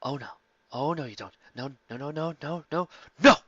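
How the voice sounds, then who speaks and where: A man reading a fan story aloud, voicing a brash, dramatic male starship captain who protests in overwrought shouts. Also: a little high, expressive, moderate pace, dry recording, lo-fi sound. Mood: protesting.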